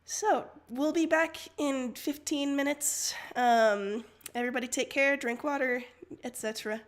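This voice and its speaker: A woman's voice: high-pitched, unhurried. A woman co-hosting a livestream, chatting casually in an upbeat, giggly manner. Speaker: livestream co-host. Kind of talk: casual chat.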